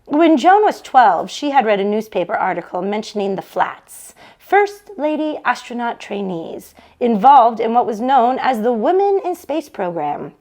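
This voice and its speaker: A woman with a fairly high voice reads a novel aloud as a read-along host. Her delivery is expressive, shaped for listeners following the story online. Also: measured pace, few pauses. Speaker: book club read-along host for a bookstore.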